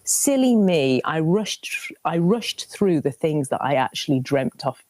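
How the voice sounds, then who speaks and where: A woman, talking as a guest on the radio. Her voice sits very low, and she tells her stories in a lively way, often restarting her sentences. The sound is dry and close, with no room around it. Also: measured pace, no echo. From Lancashire, England. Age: 50s. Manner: animated, warm storytelling with many restarts. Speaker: guest, cookery presenter. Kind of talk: radio interview chat.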